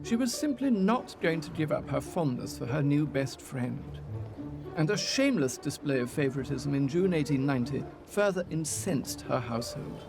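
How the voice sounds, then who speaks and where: Higher-pitched male documentary narrator. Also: animated, moderate pace, creaky. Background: music.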